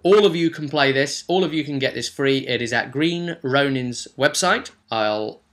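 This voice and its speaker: A man's voice: mid-range voice, normal speed, a bit bright. A man hosting a casual vlog as a game master, talking informally and with enthusiasm about tabletop role-playing.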